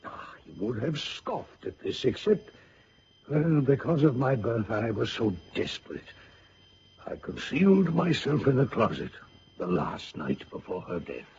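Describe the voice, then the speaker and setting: A man's voice: medium pitch, very animated, measured pace, darkish tone. An actor playing a grieving old general who tells his story solemnly and dramatically in a radio drama. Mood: grave, haunted. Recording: dull, hissy old radio recording.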